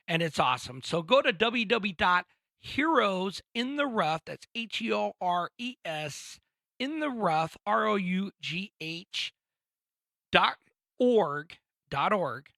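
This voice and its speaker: High-voiced chatty male podcast host. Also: dry recording. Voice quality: high-pitched voice. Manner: energetic, chatty, restarts often. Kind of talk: casual sports podcast chat.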